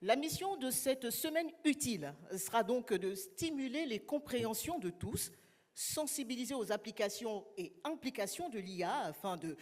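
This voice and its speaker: A woman serving as master of ceremonies, opening a formal event with measured protocol greetings. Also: on the high side, darkish tone. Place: large conference hall. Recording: long hall reverb.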